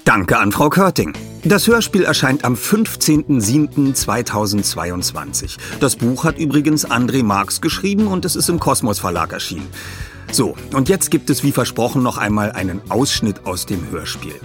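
A man's voice: mid-range voice, big pitch swings, moderate pace, soft background music. A man presenting and narrating in a scripted style as a podcast host and reader.

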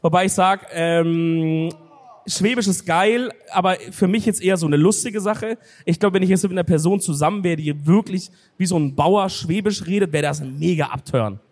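A high-voiced man jokes and calls out to the audience from a stage. His voice rings with long reverb through a big hall. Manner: loud, joking, drawn-out exclamations. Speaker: podcast host on live stage. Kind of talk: live comedy podcast banter. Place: large sold-out concert hall. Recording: live hall recording with crowd.

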